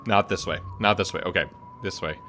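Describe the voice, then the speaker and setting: A man's voice: low-pitched, fairly even intonation, fairly quick, pushed and bright, faint music behind. A man playing a game on camera, thinking aloud and making wry jokes as he goes. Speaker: gaming YouTuber. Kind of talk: let's play commentary.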